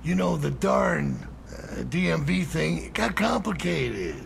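An actor playing a cocky, playful middle-aged crime boss in a video game, with a gravelly voice and a halting, folksy drawl. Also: male voice, a little high, expressive, slight background noise, full character voice. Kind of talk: cinematic video game acting.

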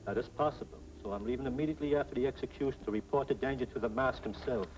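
An actor playing a cold, commanding Nazi officer in a 1940s film serial, with an imperious, clipped delivery. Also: male voice, mid-range voice, rapid-fire, darkish tone, natural voice, rough recording. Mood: businesslike. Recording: old 1940s film soundtrack with music.

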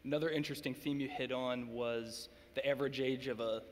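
A man in his thirties interviewing a guest on stage, setting up each question with measured context. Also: mid-range voice, fairly even intonation. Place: large hall stage, seated in armchair. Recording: long hall reverb, faint room noise.

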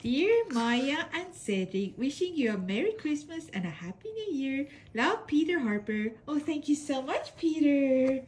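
A young woman reads letters aloud in an unhurried, gentle way, her pitch rising and falling a lot as she coos at her dogs. Her voice sits a little high, with a faint hiss of room noise behind it, recorded close on a phone at home. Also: sing-song. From Estonia. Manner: gentle, cooing baby-talk to her dogs, frequent soft laughs. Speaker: pet channel host. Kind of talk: casual vlog narration reading mail aloud. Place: home room with bed, off camera.

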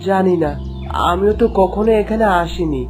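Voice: medium pitch, normal speed, a little mellow, soft background music, natural voice. An actor voicing a protective, resolute young prince in a cartoon fairy tale, earnest and reassuring. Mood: caring.

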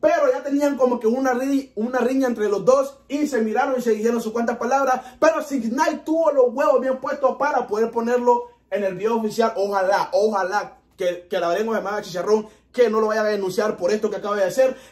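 Upbeat young Honduran guy, higher voice. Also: brisk, few pauses. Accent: Honduran Spanish. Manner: chatty, excitable, animated with hand gestures. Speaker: reaction video host. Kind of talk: casual music video reaction. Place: small indoor room in front of a TV.

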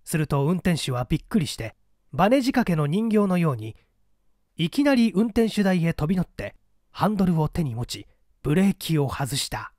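A man narrates a mystery tale as a practised reader would. His voice sits a little high and moves through a wide, animated range. The sound is dry, and the delivery is expressive and dramatic. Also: normal speed, no echo. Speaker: audiobook reader, small-theatre actor.

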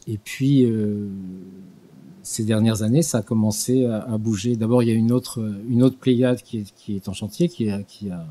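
An older man giving an unscripted talk in a small studio at a desk microphone, halting with frequent restarts and fillers. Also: fairly low pitch, steady tone, warm tone. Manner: hesitant, many restarts and fillers. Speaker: guest lecturer. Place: small studio with dark purple backdrop. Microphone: desk mic on stand.

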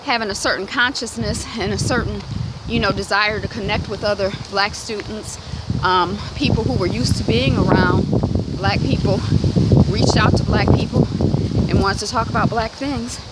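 A woman in her thirties with a Detroit accent, talking to her phone camera while walking down a residential street. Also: normal speed. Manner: animated, acts out quoted voices with big pitch jumps. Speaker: vlogger. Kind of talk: walk-and-talk vlog chat. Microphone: phone held at arm's length. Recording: outdoor noise from street traffic.

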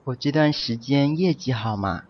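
Despairing young man played by a man, gentle, resigned, medium pitch, muffled lo-fi recording, for radio drama. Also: normal speed, natural voice. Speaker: voice actor. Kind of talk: audio drama acting.

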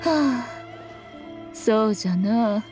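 A woman's voice: fairly high pitch, expressive, darkish tone. A woman voicing a sly, haughty fox shrine priestess in a game, speaking in an archaic, lofty manner. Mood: sly, teasing. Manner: archaic, lofty, unhurried speech. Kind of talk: voiced game dialogue. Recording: game music behind the voice.

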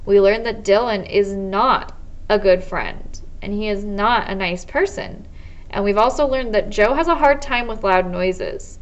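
Young woman, American accent, teacher, measured storybook narration, mid-range pitch. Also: muffled recording. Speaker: teacher reading aloud. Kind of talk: children's novel read-aloud, first-person narration. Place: small indoor office or study.

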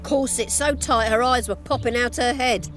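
An actress playing a fiery, sharp-tongued townswoman in a video game, speaking in a blunt, earthy, expressive way. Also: on the high side, normal speed, close to an everyday voice. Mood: scornful, indignant. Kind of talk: video game cutscene acting. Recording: music behind the voice.

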